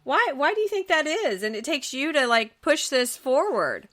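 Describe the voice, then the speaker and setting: A woman's voice: high-pitched, very animated, moderate pace, a bit bright. An American woman hosting a live interview in a casual, chatty style. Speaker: show host.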